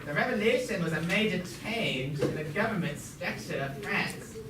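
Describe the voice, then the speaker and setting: A young student voicing a formal puppet museum guide in an amateur school show, lecturing haltingly and stumbling over words. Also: medium pitch, expressive, lightly acted. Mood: formal, explanatory. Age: teenager or young student. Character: puppet museum guide, formal, mock-learned, named Schnitzelbach. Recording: noisy, echoey room, far from mic.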